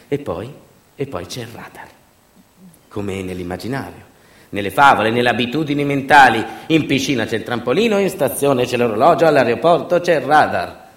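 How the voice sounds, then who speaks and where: Male stage narrator, mid-range voice. Sardonic documentary monologue with dry asides and dramatic emphasis, in a roomy echo. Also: mid-range voice. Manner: sardonic, ironic understatement with rhetorical asides; dramatic swings between emphatic delivery and quiet asides. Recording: echoey, roomy sound.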